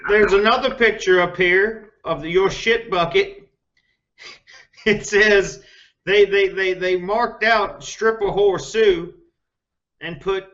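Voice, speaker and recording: A man's voice: sits high, fairly even intonation, slow pace, long pauses, fairly bright tone, lo-fi sound. A man with a mocking manner gives an informal dramatic reading of online comments.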